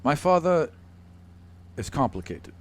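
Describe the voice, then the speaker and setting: A man playing a haughty, contemptuous demigod in a measured, condescending manner for a tabletop game. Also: fairly high pitch, sing-song, voice pushed up. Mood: grave, resolute.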